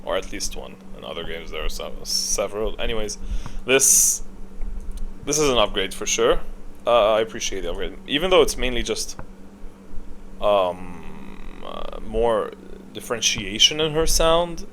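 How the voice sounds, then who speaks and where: A man's voice: lowish voice, very animated, unhurried, gravelly, a bit bright. Man talking off the cuff, noisy background. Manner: hesitant, thinking aloud, backtracking. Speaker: gaming video creator ranking voice lines. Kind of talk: casual off-the-cuff commentary.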